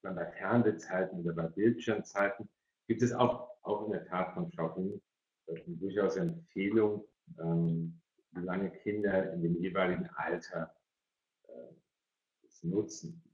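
Deep-voiced male moderator in echoey room. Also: fairly even intonation, measured pace, darkish tone. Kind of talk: moderating questions in an online webinar. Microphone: video call. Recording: dull, echoey video call audio.

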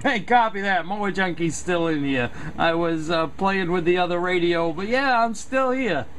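A man with a fairly high voice talks casually as a CB operator, amid very loud hiss and static. He sounds like he is chatting on the radio. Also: animated, moderate pace. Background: CB radio noise.

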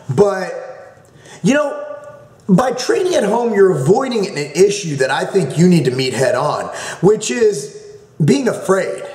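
A man's voice: sits high, a little mellow, echoey room. A man in his thirties hosting a fitness channel, giving blunt, profane tough-love advice to a viewer from his home office. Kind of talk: blunt advice vlog answering a viewer question. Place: small home office, indoors.

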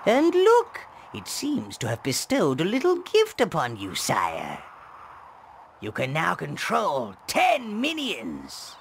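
A man playing an old, fawning, evil goblin advisor in a video game, with a gravelly voice and theatrical, obsequious delivery. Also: on the high side, huge pitch swings, slow, heavily acted. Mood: pleased, servile. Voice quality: gravelly, creaky. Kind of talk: scripted video game character acting. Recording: game ambience noise behind voice.

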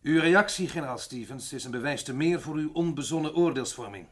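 An actor playing a cold, formal president in an old radio play, speaking sternly and officially. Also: male voice, a little high, animated, brisk, natural voice. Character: cold, formal head of state passing judgment. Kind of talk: 1960s radio play acting.

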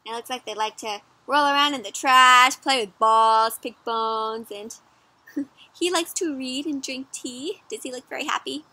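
Young woman, bright high voice, children's story reader, interactive read-aloud, teacherly questioning, recorded outside. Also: unhurried, no echo. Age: young adult. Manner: animated teacherly questioning, addressing children as friends. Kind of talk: interactive picture-book read-aloud for kids. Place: outdoors in a garden or yard.

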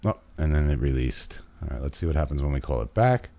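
A man's voice: rumbling low, animated, fast, creaky, heavy background noise. A middle-aged man hosting live prank calls, commenting casually into a close studio microphone. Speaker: prank call show host. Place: small indoor home studio. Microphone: studio condenser mic on boom arm, close.

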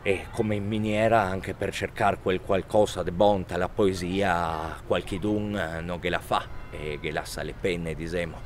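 A man, the show's technician, speaking in a with a halting, mock-poetic delivery outdoors in a village square. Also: low-pitched, little pitch movement, slight background noise. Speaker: show technician.

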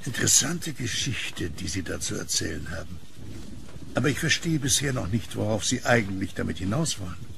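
An actor playing a polite, inquisitive and sceptical gentleman in a horror radio drama. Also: fairly low pitch, animated, brisk, gravelly, background noise. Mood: curious, sceptical. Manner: courteous, inquisitive. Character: polite, curious, sceptical gentleman listener with a pipe.

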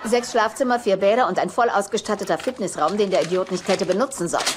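A woman's voice: mid-range voice, fast, close to an everyday voice. An actress playing a sly, self-serving older grandmother and estate agent, haughty, blunt and theatrical, in dubbed sitcom dialogue. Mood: brisk, callous. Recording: noisy TV soundtrack.

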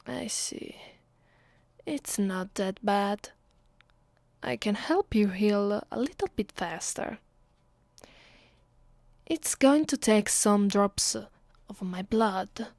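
A woman with an Italian accent playing a domineering, cruel vampire mistress in an ASMR roleplay, commanding and deliberate. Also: medium pitch, a little mellow, no room sound. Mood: cold, dismissive. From Italy. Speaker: voice actress. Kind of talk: ASMR roleplay, close and slow with whispered moments.

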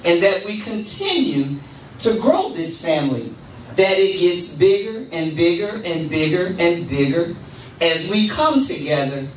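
An older woman speaks slowly and deliberately into a handheld mic in a church sanctuary. Her voice sits a little high. The sound is roomy and picked up from a distance. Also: sing-song, a little hiss or hum. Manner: measured, deliberate, with congregation responses. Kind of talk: spoken address at a church service. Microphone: handheld microphone. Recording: echoey room, camera far off.

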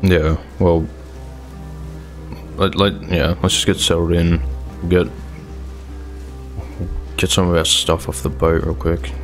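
A resigned, doubtful survivor in a zombie game roleplay, played by a man. His voice is deep and fairly flat, hesitant and low-key. There is faint music behind him. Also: takes it slowly, long pauses. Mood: low-key, agreeable. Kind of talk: improvised game roleplay skit.

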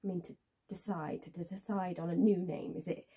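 A woman acting a dramatic monologue as a bitter, wounded young woman, halting and repeating her phrases, with a breathy, husky voice. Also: fairly low pitch, level tone. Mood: mocking, agitated. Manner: halting, repeats phrases, swings between hushed and intense.

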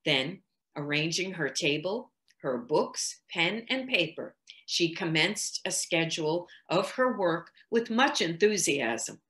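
An older woman reading a literary story aloud in an expressive, measured, articulate manner. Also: lowish voice. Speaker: reader.

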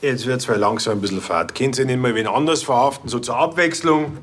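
An actor playing a cagey, grumbling poacher with a Bavarian accent and a rough voice in a TV crime comedy. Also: male voice, mid-range voice, fairly quick, lightly acted. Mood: annoyed. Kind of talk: television crime comedy acting.

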